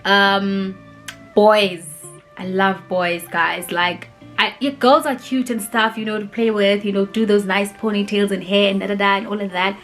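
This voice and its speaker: A young woman chats casually through a viewer Q&A, rambling and animated with plenty of fillers. Her voice sits at a mid pitch, and soft music plays faintly underneath. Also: unhurried. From grew up in Cape Town, South Africa. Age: 20s. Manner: rambling and animated, many "like" and "you know" fillers. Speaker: vlogger answering viewer questions. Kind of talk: casual chatty Q&A.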